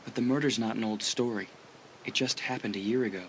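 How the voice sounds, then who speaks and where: A man's voice: on the low side, steady tone, fast talker, slight background noise, close to an everyday voice, rough recording. A young man playing an easygoing, teasing teenage school athlete in an audiobook dramatisation, chatting casually. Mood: grave, confiding.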